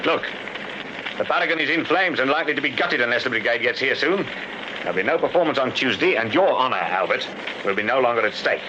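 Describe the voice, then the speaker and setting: An actor playing a shrewd, unflappable British police sergeant in a Victorian radio drama, measured, formal and dryly authoritative. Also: male voice, medium pitch, animated, close to an everyday voice. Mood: coolly accusing. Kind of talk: BBC radio period drama acting. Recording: muffled, very noisy radio drama recording.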